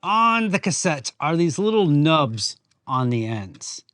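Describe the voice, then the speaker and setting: Casual man with a higher-pitched voice. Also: big pitch swings, slow pace, a bit bright, no echo. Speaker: host of a woodworking channel. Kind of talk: casual workshop vlog narration.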